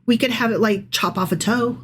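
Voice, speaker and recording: A woman's voice: fairly high pitch, brisk, a bit bright, slight background noise. A woman co-hosting a casual Bible discussion podcast, talking bluntly and irreverently, with easy swearing and some reading aloud. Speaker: podcast co-host.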